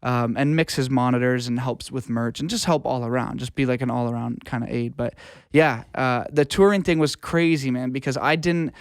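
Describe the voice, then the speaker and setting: A man with a clean, smooth voice and very little room sound talks casually as an interview guest. He is earnest and informal, sharing stories with easygoing enthusiasm. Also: mid-range voice, moderate pace, hardly any pauses, no room sound, clean studio sound. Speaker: guest, touring live sound engineer and studio producer. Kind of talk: casual interview conversation, storytelling.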